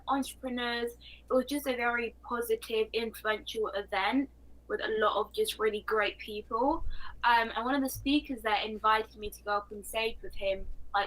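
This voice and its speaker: A teenage girl from London speaks with a British accent in a bright, fairly high voice. She is a talkative young motivational speaker, explaining herself in a casual interview. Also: low-quality recording. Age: 14 years old.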